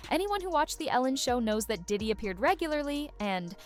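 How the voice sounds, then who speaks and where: A woman's voice: sits high, big pitch swings, brisk, soft background music. A woman reading scripted gossip-video narration in a dramatic, suspense-building way.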